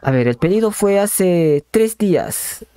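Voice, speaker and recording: A man's voice: high voice, lively intonation, a little slow, warm tone. A young man with a Peruvian accent improvising a prank phone call in a repetitive, hedging salesman patter. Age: young adult. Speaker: prank caller and channel host. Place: small bedroom, indoors. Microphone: desk condenser microphone with pop filter. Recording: clear background noise, clicks.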